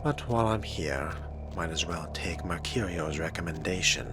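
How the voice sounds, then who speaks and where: A man's voice: sits low, normal speed. A man playing a video game in character, speaking his part with wry, formal and theatrical phrasing. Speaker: role-playing game player. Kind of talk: in-character game role-play commentary. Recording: loud game music behind the voice. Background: loud game music.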